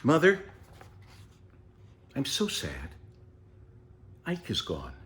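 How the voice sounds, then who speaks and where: A man's voice: medium pitch, wildly swooping pitch, extremely slow, fairly bright tone, slight background noise, heavily acted. A man voicing a sad, earnest elderly male tortoise in a children's storybook. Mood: sad, pleading.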